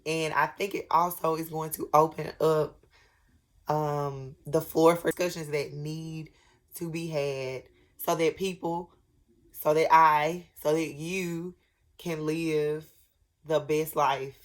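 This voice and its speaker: A woman with a cold and a raspy voice, speaking earnestly to camera in a personal vlog, with many pauses and restarts. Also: low-pitched. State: sick with a head cold. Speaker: vlogger. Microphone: camera at desk, glancing at notes.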